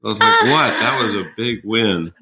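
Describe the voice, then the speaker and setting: A man's voice: fairly low pitch, animated, a little slow, a crowd in the background. A man in his sixties telling personal stories as a podcast guest, emphatic and wryly humorous. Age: 60s. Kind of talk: conversational personal storytelling.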